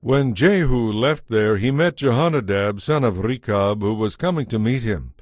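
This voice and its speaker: A man's voice: medium pitch, animated, normal speed, darkish tone, no room sound, clean studio sound. A man giving scripted narration for a dramatized audio Bible.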